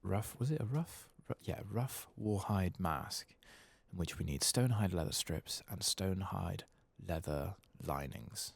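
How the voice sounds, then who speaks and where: Man, very low voice, slow unhurried pace, soft ASMR gaming commentary, murmured thinking aloud. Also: expressive, creaky, dry recording. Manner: murmuring, musing aloud to himself, hesitant. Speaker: gaming channel host. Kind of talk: soft-spoken ASMR game playthrough commentary.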